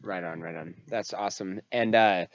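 A man's voice: low-pitched, moderate pace, no echo, muffled recording. A pastor hosting a faith podcast, a man who is sick with a congested, nasal voice and rambles with many fillers and restarts. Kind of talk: casual faith podcast talk.

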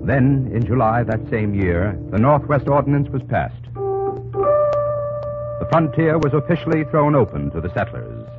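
A man's voice: mid-range voice, wildly swooping pitch, a little slow. A man giving dramatic, scripted narration for an old-time radio drama. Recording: muffled old radio recording with music.

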